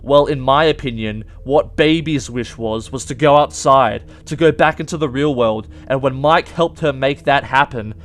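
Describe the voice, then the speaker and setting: Young male YouTube host, medium pitch, casual and emphatic explainer talking straight to viewers. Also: moderate pace, few pauses. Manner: emphatic, addresses viewers directly. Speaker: YouTube game theory host.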